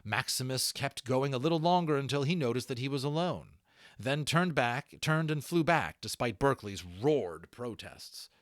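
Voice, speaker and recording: A man reading a novel aloud as an audiobook narrator, in an expressive storytelling style. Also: mid-range voice, moderate pace, no room sound, studio quality. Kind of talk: expressive storybook narration with brief asides to listeners.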